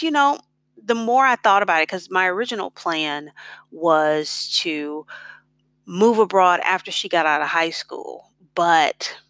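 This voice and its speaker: A middle-aged woman with an American accent talks in a slightly low voice at a very unhurried pace. She sounds close and dry, with no room around her. Her delivery is casual, reflective personal storytelling. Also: pushed and bright, no room sound. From United States. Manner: reflective, conversational. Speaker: blogger on expat life and personal finance.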